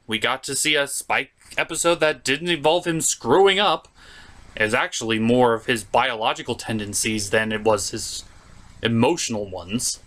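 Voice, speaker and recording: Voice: mid-range voice, a bit bright. A host of a puppet review show narrating a scripted comic episode recap in a theatrical, mock-dramatic manner.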